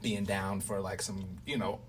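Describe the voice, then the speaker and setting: A man's voice: deep voice, little pitch movement, unhurried. A man hosting a casual interview in slangy, effusive, thankful speech. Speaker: interviewer and radio play creator. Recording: distant mic, faint background noise.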